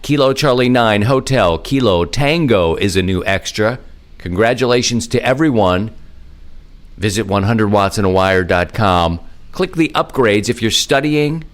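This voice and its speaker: A man hosting a casual hobby podcast, making announcements in a folksy, informal way with bits of slang. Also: lowish voice. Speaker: podcast host.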